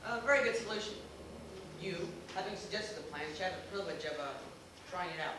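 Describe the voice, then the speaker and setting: A wry, unflappable gentleman played by a man teases with glib, theatrical formality. He talks quickly in a high voice on a theater stage, far from the mic in a very echoey space. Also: lightly acted. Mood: puzzled, glib. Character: unflappable, teasing, worldly know-it-all gentleman, drily amused. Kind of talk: amateur stage acting in a comic one-act play. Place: small theater stage, recorded from the audience. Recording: echoey theater, distant mic, noticeable noise.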